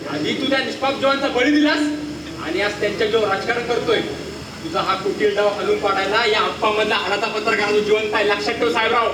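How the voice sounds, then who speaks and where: An actor playing a righteous newspaper journalist in a stage play, stern and declamatory, with a Maharashtrian accent. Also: male voice, very high voice. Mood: outraged. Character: principled male newspaper journalist, righteous accuser. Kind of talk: theatrical Marathi stage acting. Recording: distant, noisy, echoey stage recording.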